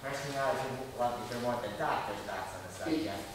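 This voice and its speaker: A man's voice: mid-range voice, fast, lightly acted. A teenage boy playing Lord Byron, a haughty, teasing poet who speaks formally in an amateur school stage drama. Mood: pompous. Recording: echoey hall from far off, noisy.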